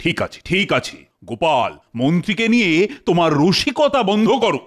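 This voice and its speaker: A man playing an authoritative, easily exasperated cartoon king with a commanding, expressive manner. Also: sits high, normal speed, noisy background. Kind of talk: Bengali cartoon voice acting.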